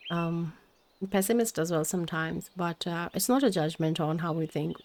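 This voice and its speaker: A woman tarot reader whose first language is Hindi, her voice sitting a little low. She talks gently and meanders, often pausing on fillers and restarting, with birds chirping faintly behind her. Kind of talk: spiritual tarot reading.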